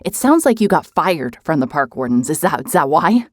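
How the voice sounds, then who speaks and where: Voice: mid-range voice, very animated, normal speed, no echo, studio quality. An actor playing a hard-hearted, suspicious investigator in an audio drama, with a blunt, sardonic, pressing way of talking. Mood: angry, accusing. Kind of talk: cinematic audio drama acting, naturalistic.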